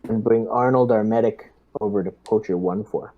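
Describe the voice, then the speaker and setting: A man playing a squad lieutenant in a tabletop role-playing session, speaking in a deliberate, halting, businesslike way. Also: fairly low pitch, fairly even intonation, phone-quality sound. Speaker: player acting as squad lieutenant.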